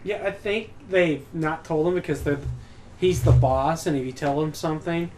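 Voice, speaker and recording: A man's voice: on the high side, moderate pace, noisy background. A man chatting casually as co-commentator on a let's play, nitpicking in an exasperated way. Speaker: co-commentator on a gaming video. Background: video game music.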